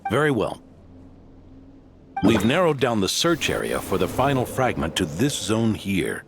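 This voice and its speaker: An actor playing a formal, courteous and earnest soldier in a video game. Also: male voice, fairly low pitch, very animated, unhurried, vocal fry, background noise, heavily acted. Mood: formal, respectful.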